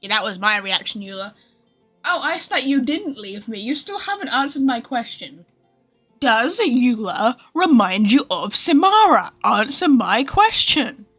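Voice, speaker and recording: A woman's voice: on the high side, big pitch swings, unhurried, a bit bright, breathy edge, faint music behind. A woman streamer giving casual livestream commentary, rambling and speculating, often trailing off mid-thought.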